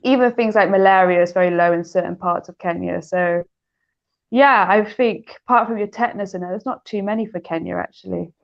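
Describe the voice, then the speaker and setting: A woman's voice: medium pitch, a little fast, creaky, lo-fi sound. A woman with a British accent, chatting as an interview guest, laughing often with hesitant fillers. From United Kingdom. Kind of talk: casual interview chat.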